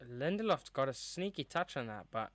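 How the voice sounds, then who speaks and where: A man's voice: mid-range voice, very animated, moderate pace, a bit bright, dry recording. A young man hosting a live match watchalong, chatting casually and calling the play as it happens into a streaming mic. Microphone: streaming mic with a cover on top.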